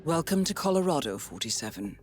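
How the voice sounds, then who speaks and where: A woman voicing a shrewd, composed intelligence handler in a video game, speaking in a measured, formal, precise way. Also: deep voice, sing-song. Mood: composed, businesslike. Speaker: handler giving mission briefings. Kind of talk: scripted video game voice acting, briefing narration. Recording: loud music behind the voice. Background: music.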